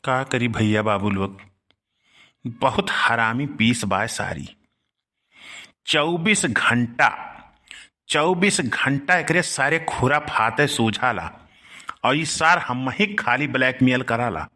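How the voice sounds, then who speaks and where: A man's voice: mid-range voice, animated, slow pace, long pauses, bright, forceful tone. A man playing a middle-aged village uncle in a comic cartoon, grumbling and rustic, with an Awadhi accent. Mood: grumbling, resigned. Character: scolding village uncle, easily blackmailed, henpecked and wheedling.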